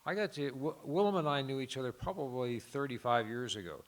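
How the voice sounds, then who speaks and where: A man with a mid-range voice hosts the gathering. He introduces the next speaker in a relaxed, conversational way, talking straight to the audience. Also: mid-range voice, a little fast. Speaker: host introducing a guest. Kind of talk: casual introduction.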